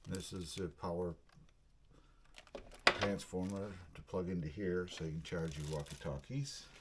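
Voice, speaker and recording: Male vlogger, low-pitched, folksy and casual, unboxing narration over heavy background noise. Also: measured pace. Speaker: video channel host. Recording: clearly noisy recording with clicks.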